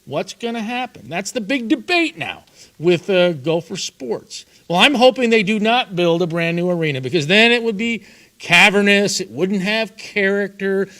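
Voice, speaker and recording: Male sports talk host, high voice, upbeat emphatic delivery. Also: expressive, normal speed, fairly bright tone. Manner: upbeat, emphatic, repeats words for punch. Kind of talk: sports talk show hosting.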